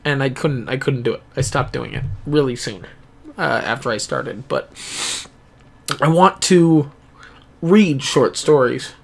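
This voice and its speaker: A young man talking earnestly and reflectively into his camera in a rambling personal vlog, pausing and restarting as he thinks. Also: mid-range voice, gravelly. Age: young adult. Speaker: gaming YouTuber. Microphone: camera-mounted microphone, close.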